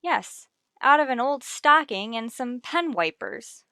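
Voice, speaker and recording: An actress playing a wisecracking, dry-witted woman schoolteacher in the style of an old radio sitcom, tossing off deadpan lines. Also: high voice, slow pace, no echo, exaggerated voice. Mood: wry, deadpan. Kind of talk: 1940s-50s radio sitcom acting.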